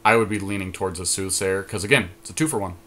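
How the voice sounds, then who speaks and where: A man's voice: low voice, quick pace, a bit bright. A man co-hosting a podcast, casually commentating on a card game draft and explaining his choices as he thinks aloud. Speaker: podcast co-host.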